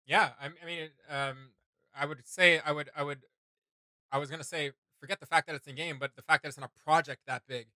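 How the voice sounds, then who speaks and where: A man's voice: fairly high pitch, steady tone, brisk, sharp tone, no room sound. A man chatting casually as a reaction show host, thinking aloud in an enthusiastic, rambling way. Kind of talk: casual chat.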